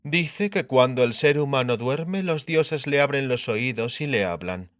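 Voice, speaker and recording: A man's voice: mid-range voice, animated, a little slow, a bit bright, no room sound, clean studio sound. A man voicing a pious, stern queen in an audiobook, solemnly quoting holy sayings to her husband. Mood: sententious. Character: pious, bespectacled queen, stern and sententious wife. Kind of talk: dramatised audiobook character acting.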